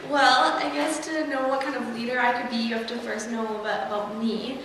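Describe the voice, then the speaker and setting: A woman's voice: fairly high pitch, fairly even intonation, fast talker, a bit bright. A young woman from Russia whose first language is Russian, giving an earnest campaign speech as a student candidate, laughing nervously now and then. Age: young adult. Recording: echoey hall, distant mic, noisy.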